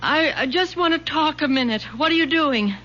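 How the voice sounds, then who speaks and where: A woman playing an anguished but determined middle-aged mother in an old radio drama, halting and earnest. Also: high voice, lively intonation, bright, forceful tone, soft background music. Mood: anxious, pleading. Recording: muffled, hissy old radio recording.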